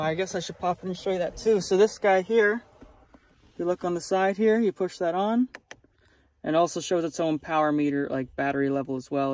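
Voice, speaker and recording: A man giving a casual, enthusiastic walkthrough as a hobby vlogger, speaking informally while showing his gear. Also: high voice. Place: in and around a truck. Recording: clear vehicle and ambient noise.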